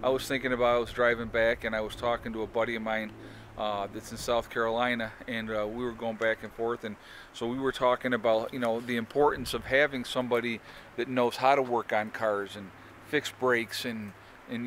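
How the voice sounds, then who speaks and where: A man's voice: mid-range voice, fairly bright tone, slight background noise. Man, 40s, homestead vlogger, selfie-style outdoors, loose rambling delivery. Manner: rambling, many fillers and restarts. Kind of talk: casual talk to camera. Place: outdoors in woods on a sunny day. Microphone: phone or camera held at arm's length.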